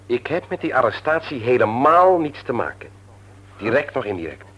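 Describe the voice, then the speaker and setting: A man's voice: mid-range voice, sing-song, moderate pace, husky. An actor playing a mild, hesitant office manager and family man in a Dutch radio play, polite and apologetic. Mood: hesitant, earnest. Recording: muffled old radio play recording.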